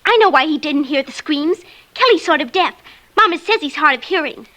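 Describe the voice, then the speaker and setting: A woman's voice: high voice, animated, a little fast, pushed and bright. A woman playing an earnest, frightened, insistent little girl in an old radio drama. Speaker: lead character and narrator. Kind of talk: 1940s-50s radio drama acting. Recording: old radio recording with music.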